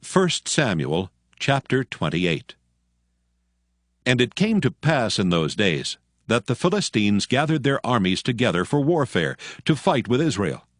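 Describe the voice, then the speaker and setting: A man's voice: mid-range voice, sing-song, unhurried, no echo, clean studio sound. A man narrating scripture from a script in a solemn, formal storytelling manner.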